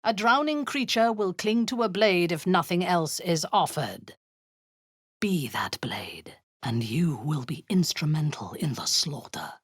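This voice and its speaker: A cold and cruel drow commander, fanatical in her faith, speaking slowly with quiet menace. Her voice is low and husky, sliding into whispers, yet it rises and falls widely. The recording is a dry, close game studio take. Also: animated, dry recording. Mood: menacing. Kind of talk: video game voice acting. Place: studio booth. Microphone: close studio mic, dry.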